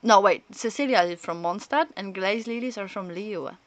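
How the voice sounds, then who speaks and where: A woman livestreaming a game, chatting casually in at a middle pitch. She rambles and thinks aloud into a close, dry microphone. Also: moderate pace, no room sound. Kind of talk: casual chat and reactions while playing.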